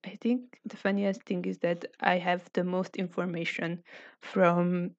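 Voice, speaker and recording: A woman's voice: on the low side, flat delivery, unhurried, no echo, highs cut off. A woman from Hungary hosting a casual online group discussion, speaking hesitantly with many filler sounds. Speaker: discussion host.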